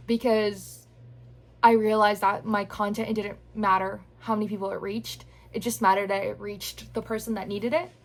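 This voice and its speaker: A young woman talks straight to her camera in a loose, reflective monologue, her thoughts tumbling out with frequent filler words. Her voice sits at a middle pitch for a woman, soft and unhurried. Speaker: content creator.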